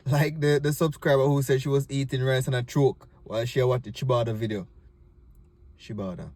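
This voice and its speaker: A man's voice: mid-range voice, fairly even intonation, fairly quick, darkish tone. A man with a Jamaican accent hosting a comedy video in a casual, chatty style. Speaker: host of a comedy clip show. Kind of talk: casual video intro and reaction.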